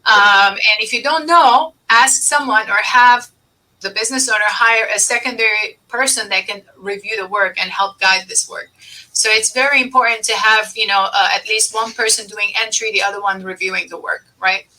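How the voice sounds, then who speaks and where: A woman's voice: medium pitch, steady tone, normal speed, pushed and bright, far from the mic, low-quality recording. A woman hosting a business advice podcast, explaining things emphatically and repeating key points. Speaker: podcast host and accountant.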